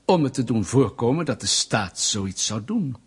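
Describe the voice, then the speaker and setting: Smug, menacing state official, slightly husky. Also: male voice, medium pitch, sing-song, moderate pace. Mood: accusing, scornful. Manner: mock-polite, sarcastic, pedantic, insinuating. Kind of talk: Dutch radio play acting.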